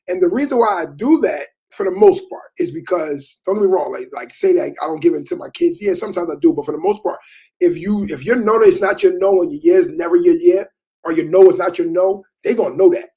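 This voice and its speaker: A man's voice: high voice, fairly quick, warm tone, husky, poor audio. A man in his thirties in casual chat about parenting, laid-back and repetitive, with many fillers.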